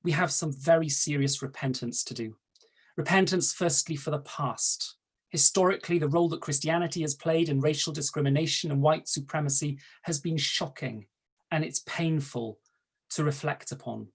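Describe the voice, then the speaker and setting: A Welsh-accented man, a native Welsh speaker serving as an archdeacon, gives a measured, formal talk over a video call, setting out his points in order. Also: on the high side, breathy edge. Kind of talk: prepared talk at an online webinar.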